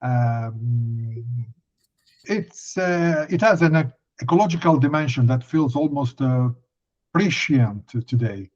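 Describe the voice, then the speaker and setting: A man hosting a podcast interview, putting prepared questions in a halting, hesitant delivery. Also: mid-range voice, lively intonation, darkish tone, lo-fi sound.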